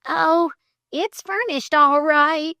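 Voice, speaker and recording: A woman's voice: extremely high pitch, takes it slowly, vocal fry, fairly bright tone, no room sound, studio quality, voice pushed up, heavily acted. A woman voicing an earnest, flustered young girl who talks formally and hesitantly in an audiobook story. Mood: tearful.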